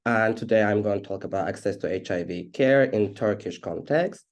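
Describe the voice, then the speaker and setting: A man's voice: lowish voice, moderate pace. A man from Azerbaijan giving a webinar presentation over a video call, speaking with many fillers and restarts. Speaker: HIV activist presenter.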